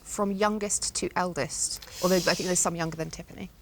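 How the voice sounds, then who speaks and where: A woman's voice: lowish voice, steady tone, a little fast, background noise. A woman answering and conferring as a contestant on a studio quiz show, somewhat tentatively. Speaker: quiz contestant, team spokesperson. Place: television studio.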